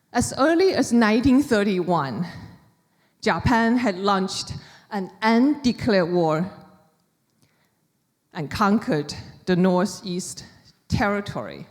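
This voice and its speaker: A woman from China with a Chinese accent gives a prepared public talk as an author, speaking deliberately in short, measured phrases. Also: mid-range voice, darkish tone. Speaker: author giving a book talk. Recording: reverberant hall with long echo.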